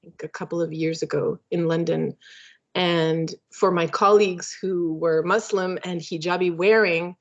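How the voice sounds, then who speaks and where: A woman's voice: lowish voice, fairly even intonation, moderate pace. Thoughtful woman presenter on a video call. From Canada. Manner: thoughtful, hesitant, many fillers. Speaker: webinar presenter. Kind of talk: academic webinar talk. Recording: muffled lo-fi video call audio.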